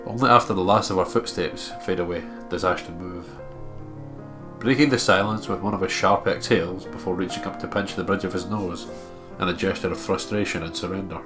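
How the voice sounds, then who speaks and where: A man's voice: low-pitched, steady tone, brisk, music in the background. A man with a blocked, nasal voice doing narration for a visual novel let's play. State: congested.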